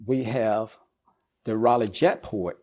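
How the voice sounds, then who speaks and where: Middle-aged man explaining, deliberate and measured. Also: on the low side, mellow. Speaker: guest expert, air traffic controller and business executive. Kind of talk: explanatory interview talk.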